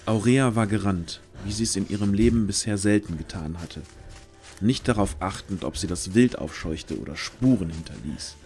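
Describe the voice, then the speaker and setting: A man narrating a scripted fantasy audio drama in a measured storyteller's delivery with deliberate pauses. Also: deep voice, darkish tone. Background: background music.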